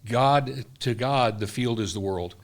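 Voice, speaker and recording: A man's voice: medium pitch, measured pace. An older man, a missionary guest, talking earnestly in casual conversation, often restarting his sentences.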